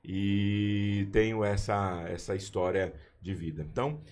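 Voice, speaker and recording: A man in his forties, a university chaplain and pastor, telling his story in a relaxed podcast chat with frequent restarts. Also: on the low side, measured pace, a little hiss or hum. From Brazil. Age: 40s. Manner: warm, storytelling, with many restarts. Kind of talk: casual podcast conversation.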